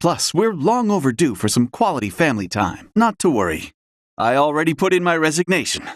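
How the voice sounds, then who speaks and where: A man's voice: fairly high pitch, very animated, brisk, no room sound, clean studio sound. An actor playing an earnest townsman and devoted family man in a video game. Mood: warm, eager. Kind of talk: video game character acting.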